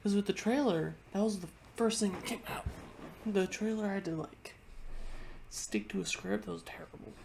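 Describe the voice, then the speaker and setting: A woman's voice: mid-range voice, normal speed, vocal fry, a little mellow, slight background noise. A congested woman vlogger chatting casually into her webcam at home, rambling and often restarting her sentences. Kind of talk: casual chat ranking things on a tier list.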